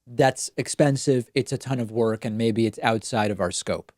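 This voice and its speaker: Show host, man, native Spanish speaker, mid pitch, dry close mic, chatty delivery. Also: moderate pace, a little mellow, no echo. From Argentina. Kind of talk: political talk show monologue.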